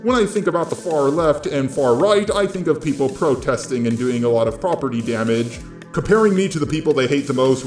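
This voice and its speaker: A man with a nasally voice delivering scripted opinion commentary into a gaming headset. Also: on the high side. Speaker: video commentator. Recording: roomy gaming headset sound, music behind.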